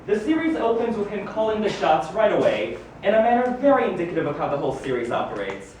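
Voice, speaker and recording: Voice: medium pitch, sing-song, quick pace, darkish tone, natural voice. A performer playing a wizard headmaster who reads his own biography aloud to a live gathering, with theatrical delivery and wry, amused asides. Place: live book launch party in a room. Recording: echoey noisy room, distant microphone.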